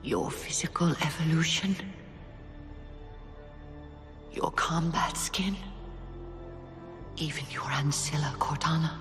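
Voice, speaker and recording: A woman playing an ancient female alien spirit, solemn, measured and oracular, in a cinematic video game. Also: deep voice. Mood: hushed, solemn. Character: ancient solemn female Forerunner spirit guiding a chosen warrior. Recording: music bed behind the voice.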